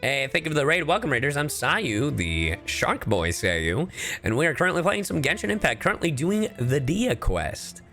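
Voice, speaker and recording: A man's voice: a little high, very animated, normal speed, bright, forceful tone. A man giving casual, crude and teasing commentary as he streams a game. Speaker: livestreamer. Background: video game music.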